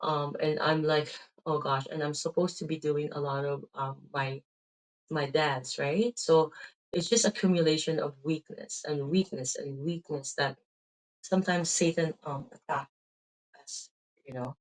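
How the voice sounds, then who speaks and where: Low-voiced Filipino woman rambling about faith. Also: measured pace, rough recording. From Philippines. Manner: meandering, full of "um" and "right?" tags. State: tired, drained of energy. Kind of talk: rambling casual faith talk.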